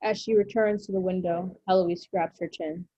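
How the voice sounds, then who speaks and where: A woman's voice: lowish voice, fairly even intonation, moderate pace, mellow. A woman reading screenplay stage directions aloud as narrator at a table read. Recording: dull lo-fi recording.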